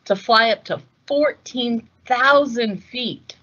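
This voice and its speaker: A woman reading a picture book aloud as host, in a measured, deliberate storytelling delivery. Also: on the high side, a bit bright, highs cut off. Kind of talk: scripted picture-book narration.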